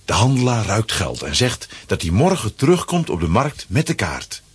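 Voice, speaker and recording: Husky, animated male storyteller. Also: on the low side, moderate pace, no room sound. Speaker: narrator. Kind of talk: scripted storytelling for a children's audio play. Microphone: close, dry studio microphone.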